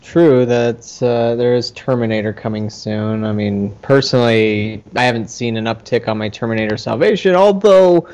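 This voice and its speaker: A man hosting a casual podcast chat about games and movies, speaking in halting, hesitant phrases. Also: medium pitch, darkish tone, clear, resonant tone, muffled recording. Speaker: podcast host.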